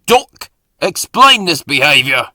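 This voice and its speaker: A man's voice: huge pitch swings, unhurried, bright, forceful tone, no room sound, studio quality, exaggerated voice. A man voicing a commanding storybook railway controller, authoritative and blustery but kindly. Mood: stern, thundering. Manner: booming, authoritative.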